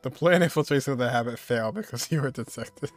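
A man's voice: mid-range voice, fast, music in the background. A man in his twenties giving casual, joking, slangy reaction commentary as he streams a video game. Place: indoors, streaming at a desk.

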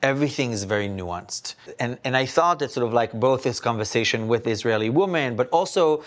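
A middle-aged man from Belgium talks through his ideas as a rabbi on a studio panel. He weighs each point and keeps asking "right?" to bring the others along. His voice sits at an ordinary man's pitch and is picked up close on a lapel mic. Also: lively intonation, a little fast. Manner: thoughtful, often adds "right?" to his points. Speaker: rabbi and spiritual counselor. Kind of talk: panel discussion. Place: indoor studio set with plants.

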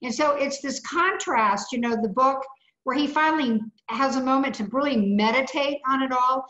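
A woman with a fairly high voice speaks haltingly on a video call, pausing often with "um" and "uh". Her voice echoes in her room, and the lo-fi call audio sounds dull. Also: a bit bright. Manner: halting, many "um" and "uh" fillers. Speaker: audience member commenting. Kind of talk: casual comment on a video call.

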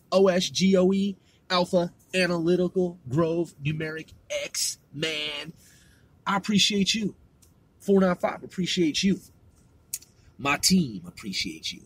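A man's voice: sits high, sharp tone, slightly rough, no room sound. A middle-aged man with a West Coast accent, hosting his own podcast in a rambling, slangy, casual monologue. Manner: rambling, laid-back slangy talk with lots of swearing and fillers. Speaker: podcast host.

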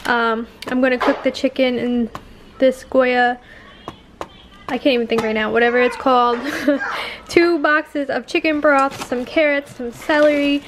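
High-voiced chatty young mom. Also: female voice, steady tone, measured pace, noisy background. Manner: chatty, talking straight to viewers with fillers. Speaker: family vlogger. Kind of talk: casual cooking voice-over.

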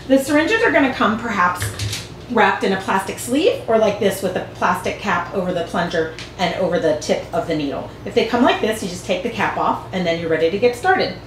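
A woman's voice: mid-range voice, animated, brisk. A woman in her forties, a veterinarian, patiently explaining a procedure step by step in a clinic exam room, recorded from a camera across the room. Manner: clear, patient explaining with hand gestures. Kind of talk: instructional demonstration. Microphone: far camera. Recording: echoey clinic room with faint hum.

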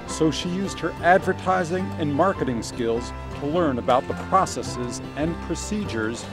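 A man giving measured, scripted documentary narration. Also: fairly high pitch, animated, over music.

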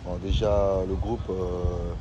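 A man in his twenties with a Congolese accent, a footballer answering casually and haltingly in an outdoor interview. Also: low-pitched, little pitch movement, mellow, lo-fi sound. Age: 20s. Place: outdoors by a hotel pool. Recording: clear outdoor traffic noise.

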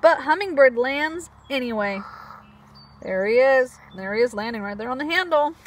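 A woman preschool teacher reading a picture book aloud to young children in an animated, expressive way, with chatty asides. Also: high-pitched, moderate pace, background noise.

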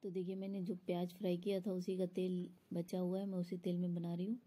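A woman's voice: lowish voice, little pitch movement, a little fast, warm tone, slightly rough. A woman explaining a recipe step by step in an instructional voice-over, as a home cook. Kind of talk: step-by-step cooking tutorial voice-over.

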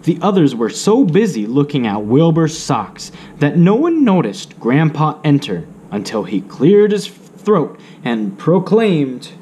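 A man reading a children's story aloud with measured, theatrical expression. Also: on the high side, sing-song, dark tone, a little hiss or hum.

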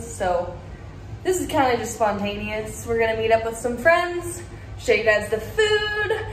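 A young woman in her twenties talks to her phone camera in a chatty, upbeat way, drifting into asides as she goes. Her voice sits fairly high. There is a faint hiss behind her, and the sound has the closeness of a phone held at arm's length. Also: poor audio. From Arizona. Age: 20s. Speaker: vlogger. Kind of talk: casual vlog to camera. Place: car, home and outdoor trail.

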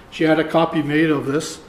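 An older man, around seventy, giving a conference lecture in a halting, deliberate way. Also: a little high. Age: older, about 70. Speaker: keynote speaker, archivist. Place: lecture room, indoors. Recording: roomy echo, faint room noise.

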